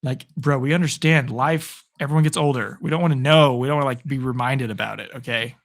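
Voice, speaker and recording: A man hoarse from hours of talking, giving live play-by-play and chatting upbeat with viewers on his stream. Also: medium pitch, fairly quick. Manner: chatty, upbeat, reads viewer comments aloud. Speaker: sports streamer. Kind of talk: live play-by-play and chat reactions.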